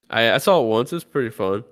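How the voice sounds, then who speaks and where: A man's voice: mid-range voice, fairly bright tone. A man hosting a casual podcast, talking while getting over a cold, his voice scraggly and sniffly, laughing often and restarting his sentences. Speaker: podcast host.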